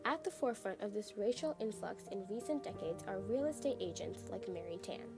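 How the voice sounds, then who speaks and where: A woman's voice: mid-range voice, animated, gravelly. Teen girl reporter voice-over, music playing under it. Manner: measured voice-over reading statistics. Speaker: student reporter. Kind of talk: scripted narration.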